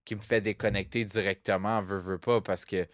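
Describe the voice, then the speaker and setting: Low-voiced Québécois man chatting. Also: flat delivery, normal speed, no echo, studio quality. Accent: Québécois French. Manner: rambling, mixes English phrases, many fillers. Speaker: podcast co-host. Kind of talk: casual chat.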